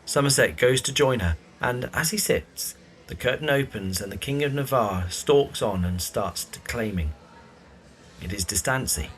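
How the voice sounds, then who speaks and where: A man's voice: mid-range voice, lively intonation, moderate pace, a bit bright, faint music behind, low-quality recording. A man reading scripted narration for an audio drama.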